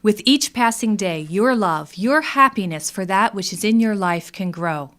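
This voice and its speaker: A woman's voice: mid-range voice, animated, measured pace, a bit bright. A woman reading scripted narration as a presenter for a radio theatre troupe.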